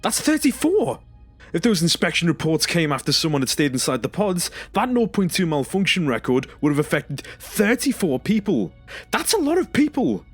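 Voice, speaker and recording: A man's voice: fairly high pitch, big pitch swings, normal speed, breathy edge, no echo, faint music behind. A man acting in an audio drama as a distressed, sarcastic and exasperated survivor, indignant and pleading.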